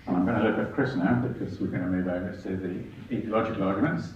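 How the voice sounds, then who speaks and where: A man with a very low voice chairs a meeting, speaking in a measured, deliberate way. He is heard from afar in a hall with heavy echo. A faint hiss sits under the muffled sound. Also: fairly even intonation, darkish tone. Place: large echoey meeting hall. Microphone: far from the microphone. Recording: echoey hall, distant, dull, faint hiss.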